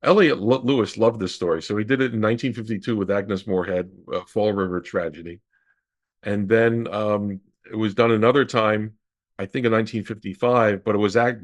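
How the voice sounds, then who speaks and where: Male interview guest, lower register, measured academic delivery with pauses to think. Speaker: interview guest, radio historian. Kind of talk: conversational explanation.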